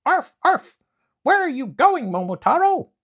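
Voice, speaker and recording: A man's voice: very high-pitched, very animated, unhurried, narrow, tinny audio, pitched above the performer's own voice, full character voice. A man voicing an eager male dog companion in a storybook, yapping barks between words. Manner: yappy, interjects barks.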